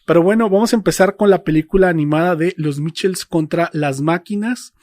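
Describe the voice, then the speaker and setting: A man hosting a casual film discussion, speaking haltingly as he searches for his words. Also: a little high, darkish tone, no echo. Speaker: film podcast host.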